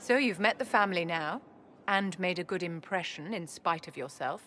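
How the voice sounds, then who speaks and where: An actress playing a scheming aristocratic enchantress in a game, imperious and silkily condescending. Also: female voice, fairly low pitch, lively intonation, normal speed, a bit bright, a little hiss or hum. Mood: smug. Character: haughty, scheming aristocratic enchantress courtier, poised. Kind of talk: dramatic game acting.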